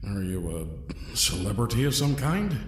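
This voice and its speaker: A young male adventurer, acted by a man, talks in a lowish voice in an echoing space. He is captive yet wry, answering with halting, dry defiance in radio-play style. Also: very animated. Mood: wry, probing. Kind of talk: audio drama acting.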